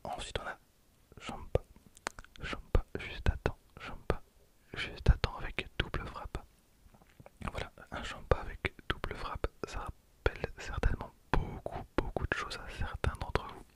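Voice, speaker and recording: A man whispers softly in an ASMR style, unhurried, repeating phrases slowly into a close microphone. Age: 20s. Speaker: ASMR video creator and host. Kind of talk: ASMR whispering, card pack opening commentary. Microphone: handheld recorder used as close ASMR microphone.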